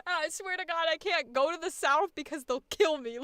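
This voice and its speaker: Casting: high, bright woman voice actor, animated and profane chat, dry close sound. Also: fairly quick, no echo. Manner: animated, swears a lot, laughs often. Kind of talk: casual chat.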